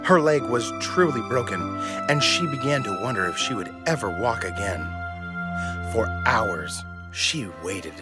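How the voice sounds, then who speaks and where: An expressive storybook narrator, played by a man, with a medium-pitched voice and lively intonation. He tells a children's tale in scripted, measured fashion over clear music. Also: close to an everyday voice. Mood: narrating.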